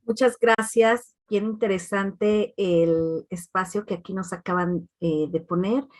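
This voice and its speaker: A woman's voice: medium pitch, steady tone, unhurried. Woman moderator reading questions aloud.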